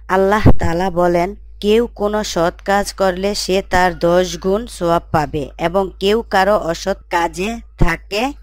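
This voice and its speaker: A woman as a devout, low-voiced mother. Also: no echo. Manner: earnest, explanatory. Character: devout, attentive wife and mother. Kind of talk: scripted Islamic story dialogue.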